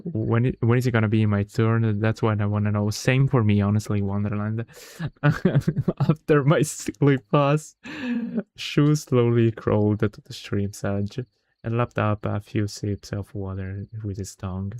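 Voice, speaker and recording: A man's voice: fairly low pitch, fairly even intonation, a little slow, darkish tone, no echo. A man livestreaming a visual novel read-aloud in a casual, chatty way, often stumbling over words and correcting himself.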